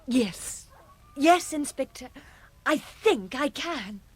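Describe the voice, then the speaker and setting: High, animated earnest young woman. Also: slow, dry recording. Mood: earnest, hesitant. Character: anxious young woman, earnest and concerned sister. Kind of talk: radio drama acting.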